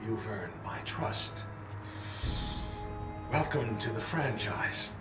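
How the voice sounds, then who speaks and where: A man playing a smooth, menacing boss of a criminal organization in a video game, suave and condescending. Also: fairly low pitch, animated, slow. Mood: pleased, sinister. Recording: echoey, under loud music.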